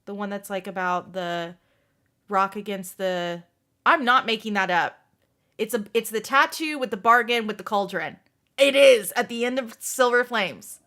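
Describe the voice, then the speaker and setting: A woman's voice: medium pitch, moderate pace, a bit bright. Female book club host, mid-range voice, informal and conversational. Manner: informal, says "like" often. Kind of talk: casual group discussion.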